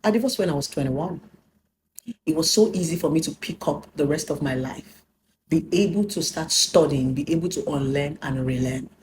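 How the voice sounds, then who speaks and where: A woman's voice: low voice, moderate pace, background noise. A Nigerian woman in her thirties giving an emphatic personal talk, preaching her lessons and slipping into Pidgin. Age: 30s. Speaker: relationship speaker.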